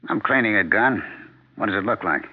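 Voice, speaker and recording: An actor playing an embittered, paranoid husband in a 1970s radio drama, needling and sarcastic with rhetorical questions. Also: male voice, fairly low pitch, animated, a little fast, breathy edge. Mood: curt, irritable. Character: embittered, paranoid husband turning on his wife. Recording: muffled, narrow-band 1970s radio recording.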